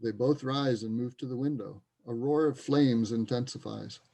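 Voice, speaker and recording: Mellow, creaky male stage-direction narrator. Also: medium pitch, moderate pace. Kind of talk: scripted narration in reader's theater.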